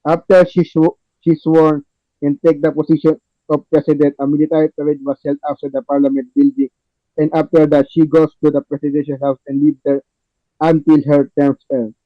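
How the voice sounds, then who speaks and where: A man with halting, repetitive, non-native phrasing, narrating a play reading and chatting with the cast as podcast host. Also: a little high, level tone, no room sound, muffled recording.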